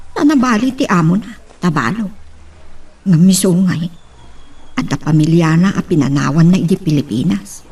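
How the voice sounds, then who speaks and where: A woman's voice: medium pitch, mellow, breathy edge, distant mic. A woman with a Filipino accent acting an outspoken, concerned friend in a radio drama.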